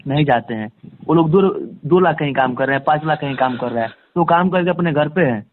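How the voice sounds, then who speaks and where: A man's voice: a little high, fairly quick, a little hiss or hum. A man giving a conversational phone interview as a guest, emphatic and repeating phrases for stress. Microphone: phone line. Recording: tinny, band-limited phone call recording.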